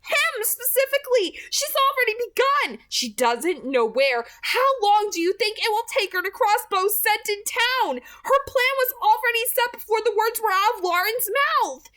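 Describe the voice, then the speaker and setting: Give this one a fierce young vampire woman played by a female reader, high and sharp. She is commanding and desperate, snarling out urgent, emphatic words as in a dramatic audiobook. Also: expressive, normal speed, hardly any pauses, full character voice. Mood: frantic, urgent. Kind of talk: dramatic audiobook character reading.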